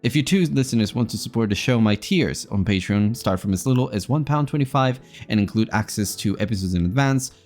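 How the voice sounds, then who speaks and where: A man's voice: fairly low pitch, expressive, a little fast, hardly any pauses, darkish tone, soft background music. A man hosting an interview podcast in a polite, conversational way, often wordy and hesitant as he talks.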